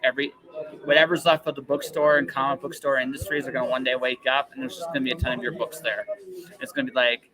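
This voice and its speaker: A man's voice: mid-range voice, little pitch movement, fast talker, a crowd in the background, rough recording. A man hosting a casual, enthusiastic interview, rambling and repeating phrases for emphasis. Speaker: podcast host interviewing a guest.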